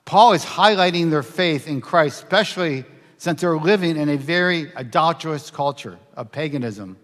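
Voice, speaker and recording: A man's voice: on the high side, lively intonation, normal speed, fairly bright tone. A middle-aged man preaching a sermon from a pulpit in a church sanctuary, reading scripture, praying, and asking earnest, emphatic questions. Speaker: pastor. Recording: church livestream with long hall reverb.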